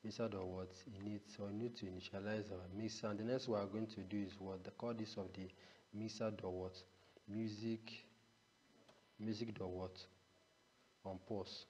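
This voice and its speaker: A man with a fairly low voice talks through an unscripted software tutorial step by step. He repeats phrases and drops in a rhetorical "what" as he explains. The recording has long room reverb and some faint background noise. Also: level tone, normal speed. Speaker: programming tutorial instructor.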